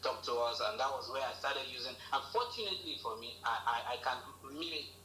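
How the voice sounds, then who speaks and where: A man with a Nigerian accent telling his life story as an interview guest, animated and repeating words, laughing often. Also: medium pitch, a little fast, reverberant, a little hiss or hum, low-quality recording. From Lagos, Nigeria.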